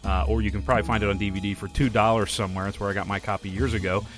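A hoarse man, hosting a solo podcast and giving his opinions on films in a rambling, casual way. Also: fairly low pitch, fairly even intonation, racing, faint music behind. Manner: rambling, opinionated, many fillers and restarts. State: hoarse from a flu. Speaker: podcast host and film critic.

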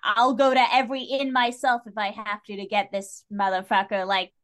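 Casting: female podcast guest, informal and salty-tongued, animated delivery in casual literary chat. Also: mid-range voice, moderate pace. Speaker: guest on a book discussion podcast.

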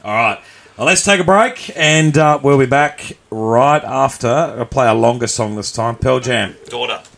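A man in a middle pitch runs a homemade live podcast. He is chatty and loose and laughs easily between announcements. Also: unhurried, gravelly, a bit bright. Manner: laughs often, chatty, reads out stats. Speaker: podcast host. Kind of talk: casual live podcast hosting.

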